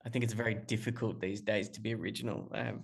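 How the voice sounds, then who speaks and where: A man's voice: lowish voice, fairly even intonation, quick pace, gravelly, husky. Hesitant, rambling man calling in. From Australia. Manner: rambling, hesitant, many restarts and fillers. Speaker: musician guest. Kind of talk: casual interview talk. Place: calling in remotely.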